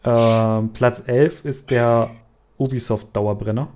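A man hosts a gaming podcast. His voice is mellow and relaxed at a middle pitch. He talks casually and haltingly, posing quiz questions to his co-hosts. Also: clear, resonant tone. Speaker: podcast host.

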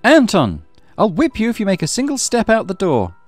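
A man voicing a stern mother who scolds her young son in a sharp, reproachful, threatening manner. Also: high voice, wildly swooping pitch, brisk, dry recording, soft background music, voice pushed up. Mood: angry, reproachful.

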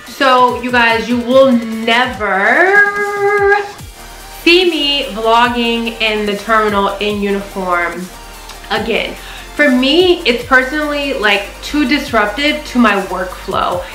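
Young American woman, forceful bright voice, roomy echo, casual emphatic vlog chat. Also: a little high, slow, soft background music. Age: young adult. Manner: emphatic, conversational, punctuated with "right?" check-ins. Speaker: vlogger and flight attendant. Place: small living room, indoors on a sofa.

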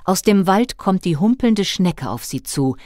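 A woman narrating a scripted children's story in an expressive storytelling manner. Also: mid-range voice, measured pace, no room sound, studio quality.

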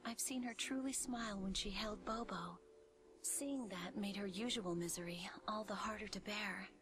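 A woman's voice: mid-range voice, moderate pace, close to an everyday voice. A woman voicing a humble, sorrowful young servant in a game cutscene, speaking politely and confidingly. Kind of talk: scripted game cutscene acting.

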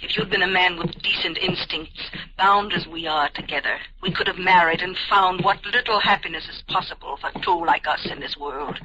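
An actress playing an earnest, pleading telepath, hurt but loving, with intense old-time radio drama delivery. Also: female voice, lowish voice, normal speed, few pauses, lightly acted. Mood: wounded, tender. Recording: noisy, dull vintage radio recording.

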